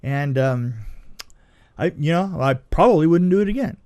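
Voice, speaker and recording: Middle-aged male podcast guest, slightly high voice, informal storyteller who doubles back on his phrases for emphasis. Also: moderate pace. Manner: animated retelling with repeated phrases and acted-out quotes. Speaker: film effects veteran, podcast guest. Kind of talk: casual anecdotal storytelling.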